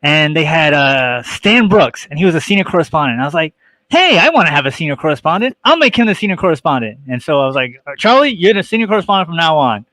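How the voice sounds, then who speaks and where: A middle-aged man talking casually as an interview guest, explaining details in a halting, list-like way. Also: a little high, big pitch swings, bright, forceful tone, dry recording. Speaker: guest, radio show host.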